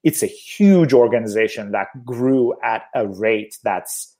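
A man's voice: mid-range voice, expressive, measured pace, warm tone. A man in his thirties from Turkey, a theater producer, talking casually and reflectively with many fillers. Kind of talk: casual conversation.

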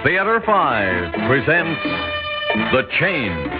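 A man's voice: mid-range voice, huge pitch swings, drawn-out words, highs cut off. A man narrating a mid-century radio drama as announcer, in a portentous, dramatic style. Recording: thin band-limited old radio recording. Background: loud dramatic music.

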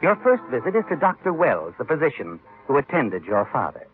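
A man's voice: medium pitch, wildly swooping pitch, fairly quick, husky. A man delivering dramatic, ominous scripted narration in old-time radio style, speaking directly to the character. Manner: ominous, addressing the character as you. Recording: muffled vintage radio, music behind. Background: music.

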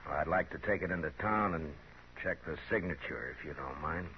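A man playing a calm, steely frontier marshal in a radio Western, laconic and courteous, with an American accent. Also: a bass voice, fairly quick. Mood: steely, probing. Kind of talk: 1950s radio Western acting. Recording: muffled, hissy 1950s radio recording.

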